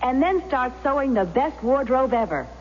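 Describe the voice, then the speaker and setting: Lively woman pitching on echoing radio. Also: moderate pace. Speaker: commercial announcer. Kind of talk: 1970s radio sales pitch. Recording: muffled echoing old radio, faint hum.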